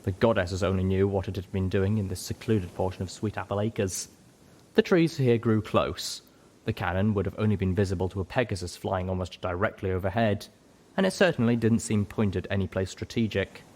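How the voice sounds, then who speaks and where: A man reading a first-person story aloud as an expressive scripted narration. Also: deep voice, fairly quick.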